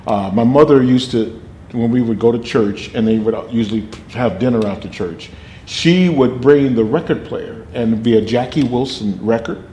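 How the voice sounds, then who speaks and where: A booming older man tells his stories with animated energy, often breaking into laughter. His voice sits at a middle pitch and sounds roomy, with faint noise beneath it. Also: moderate pace. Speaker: actor and drama teacher. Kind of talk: interview storytelling.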